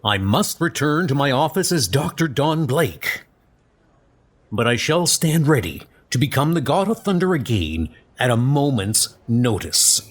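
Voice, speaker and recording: A man's voice: mid-range voice, sing-song, unhurried, slight background noise. A man voicing an earnest doctor with a secret heroic side in a comic book dub. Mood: resolute. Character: earnest male doctor, secretly a thunder god.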